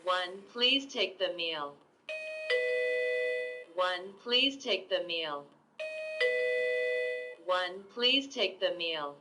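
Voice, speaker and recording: Brief: slow, lowish machine woman's voice, flat device prompts over loud chimes. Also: over loud music. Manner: flat, mechanical repeated announcements. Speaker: device voice announcement.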